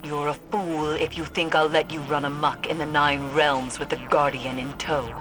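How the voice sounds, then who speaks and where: A woman's voice: sits low, level tone, normal speed, close to an everyday voice. An actress playing a stern, scornful mystic woman in a video game, speaking in a solemn, commanding, portentous way. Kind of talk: video game character acting. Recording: music behind the voice.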